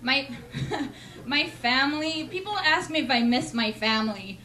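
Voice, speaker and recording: Swedish lady comic, high voice, talks slow and dry, noisy club mic. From Sweden. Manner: deadpan, self-mocking delivery. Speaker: stand-up comedian. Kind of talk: stand-up comedy set. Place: comedy club stage.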